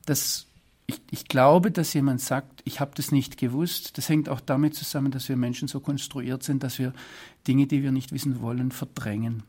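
A man from Swabia, giving earnest, deliberate interview answers. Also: mid-range voice, fairly even intonation, darkish tone. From Swabia, Germany. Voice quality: husky. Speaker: interview guest.

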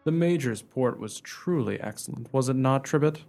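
A man playing a pompous, smooth-talking captain in a comic period radio play, with flowery theatrical delivery. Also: medium pitch, animated, normal speed, a little mellow, soft background music. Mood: blustery, scheming.

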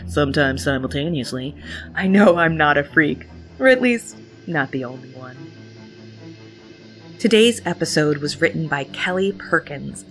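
A woman's voice: sits low, fairly bright tone. A woman hosting a podcast, reading a scripted introduction with playful, theatrical phrasing over background music. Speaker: podcast host. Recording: music bed behind the voice.

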